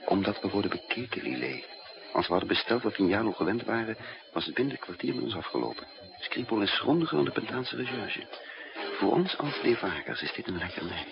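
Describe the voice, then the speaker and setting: An actor playing a shrewd, composed male spy who narrates and talks in a measured, wry way in a 1960s radio drama. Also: fairly low pitch, animated, creaky. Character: shrewd fugitive male spy, calm and teasing. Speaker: lead character and narrator. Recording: muffled noisy 1960s radio recording.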